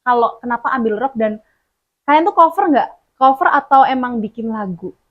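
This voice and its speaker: A young-sounding woman hosts a casual radio chat, asking curious questions with a playful giggle. Her voice is a touch higher than usual. The recording is slightly dull and muffled. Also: lively intonation, moderate pace. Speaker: radio host. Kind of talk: casual interview.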